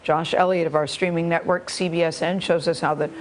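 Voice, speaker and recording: A woman's voice: fairly low pitch, steady tone, fairly quick, slight background noise. A woman working as a news anchor, reading a scripted introduction to a report.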